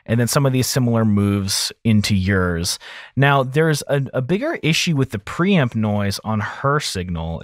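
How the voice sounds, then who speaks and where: A man in his thirties hosts an audio mixing tutorial in a casual, conversational way. His voice sits slightly low and is recorded close on a broadcast microphone in a dry home studio. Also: dry recording. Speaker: audio engineer host of a mixing series. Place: small home studio room, indoors. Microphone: close large broadcast mic on an arm.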